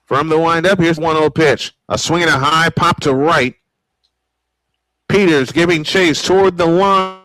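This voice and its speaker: A man's voice: a little high, a little slow, sharp tone, no echo, poor audio. A man announcing a baseball game live, mixing old-school play-by-play with casual asides. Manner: old-school sportscaster delivery.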